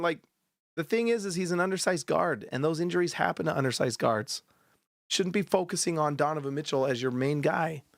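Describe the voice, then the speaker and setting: Fired-up guy ranting after the game, throat going hoarse, slightly high voice, dry close mic. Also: no room sound. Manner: heated, blunt ranting. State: sore throat. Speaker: sports podcast host. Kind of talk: post-game commentary.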